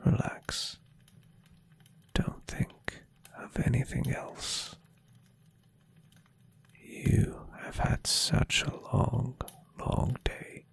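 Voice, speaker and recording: A man voicing a tender, adoring and playfully teasing centuries-old vampire boyfriend in an intimate ASMR roleplay, speaking in a soft, husky half-whisper. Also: mid-range voice, full character voice. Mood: soothing. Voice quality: breathy, husky half-whisper with gravelly creak. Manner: soft, soothing, affectionate murmuring.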